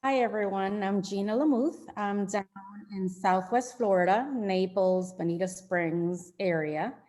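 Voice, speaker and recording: A woman's voice: mid-range voice, unhurried, darkish tone. A middle-aged woman realtor introducing herself and her work to a group in an online meeting. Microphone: video call.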